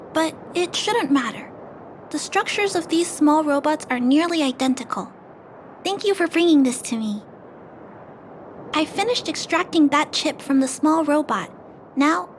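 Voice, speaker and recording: A woman voicing a gentle, caring young girl in a video game, polite and earnest. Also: sits high, a little hiss or hum. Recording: game music behind the voice.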